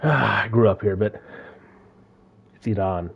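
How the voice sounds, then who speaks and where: Casual male streamer, deeper voice, loose and giggly, chatting mid-game. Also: slow pace, creaky, slightly rough, highs cut off. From India. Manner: laid-back, laughs often, repeats words. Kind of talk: casual gaming chat.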